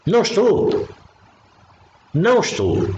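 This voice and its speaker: Portuguese man, spiritual talk, repeats himself for emphasis; animated pitch, faint background noise. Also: slow pace, dull audio. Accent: European Portuguese. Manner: repetitive, emphatic, with frequent restarts. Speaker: spiritual preacher. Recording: echoey room with faint noise.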